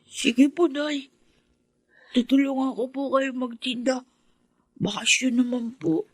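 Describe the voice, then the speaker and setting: An earnest boy, very high voice. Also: fairly even intonation, takes it slowly, husky, dry recording, studio quality, heavily acted. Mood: dutiful, subdued. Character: earnest, hopeful, dutiful poor boy in his teens. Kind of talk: Filipino radio drama acting.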